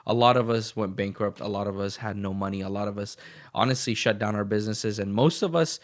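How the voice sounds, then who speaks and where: A man with a fairly low voice hosts his podcast alone, speaking close to the mic. He is a bit sniffly from a recent flu and rambles through pep talk and news with many restarts. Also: fairly quick. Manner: rambling and motivational, with frequent restarts. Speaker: podcast host. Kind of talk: casual solo podcast monologue.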